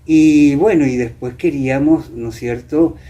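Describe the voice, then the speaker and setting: An older man with an Argentine accent from Entre Ríos, reminiscing as a guest and often pausing to find his words. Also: fairly high pitch, mellow. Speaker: interview guest. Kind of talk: reminiscing in conversation. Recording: clear background noise.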